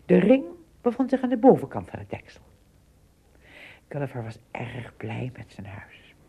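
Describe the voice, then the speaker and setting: A woman narrator tells a story for a radio drama. Her lowish voice is mellow and husky, with a very lively melody. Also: moderate pace.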